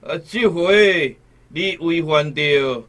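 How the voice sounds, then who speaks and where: Slow, drawling, pompous magistrate. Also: male voice, on the high side, a bit bright. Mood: officious, dismissive. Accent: Taiwanese. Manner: drawling, officious. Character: pompous, unfeeling male colonial magistrate passing judgment. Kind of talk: radio drama acting.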